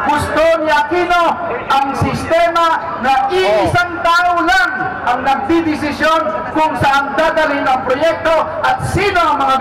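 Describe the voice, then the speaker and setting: A Filipino man addresses a street rally in a very high-pitched voice. He speaks emphatically, explaining as he goes. The sound is echoing and distant, carried by a loudspeaker outdoors. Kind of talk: political rally speech. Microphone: public address microphone. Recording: echoing loudspeaker heard from afar.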